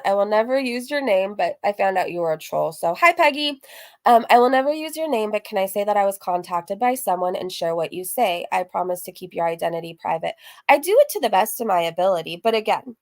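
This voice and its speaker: A woman hosting a casual reaction livestream, chatty and gossipy, repeating phrases for emphasis as she comments and reads out messages. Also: mid-range voice. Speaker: online reaction channel host.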